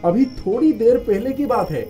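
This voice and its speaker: A man voicing a respectful, eager village headman in an animated moral story, courteous and expressive. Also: extremely high pitch, normal speed, faint music behind. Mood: worried, puzzled.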